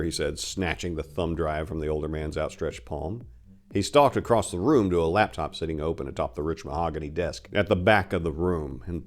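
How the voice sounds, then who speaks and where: A man narrating a crime story as a dramatic reading, in the role of storyteller. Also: sits low.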